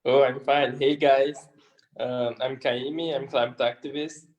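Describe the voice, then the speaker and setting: A man's voice: mid-range voice, level tone, unhurried, muffled recording. A man, a youth climate activist, introducing himself on an online panel in a friendly, plain way.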